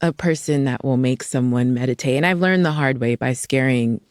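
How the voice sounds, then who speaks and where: A woman, a meditation teacher, giving calm, earnest advice on a podcast. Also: rumbling low, brisk, no echo, clean studio sound. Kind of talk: casual advice podcast chat.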